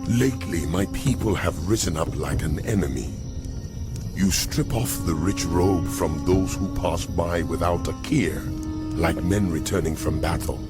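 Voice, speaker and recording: A man voicing a stern, wrathful God in a solemn, deliberate dramatic scripture reading. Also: low voice. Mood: grieved indignation. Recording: loud music behind the voice.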